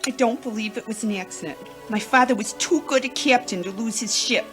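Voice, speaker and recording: A woman's voice: a little high, fairly quick, a bit bright, faint music behind, natural voice. A woman playing a melodramatic femme fatale client in an old-time radio detective parody. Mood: dramatic.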